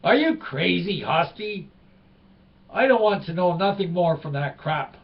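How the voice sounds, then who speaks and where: A man's voice: sits high, animated, unhurried, recorded from a distance, muffled recording. A man doing a riled-up Quebecer, broken English. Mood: exasperated. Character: excitable Quebecer man, touchy about country.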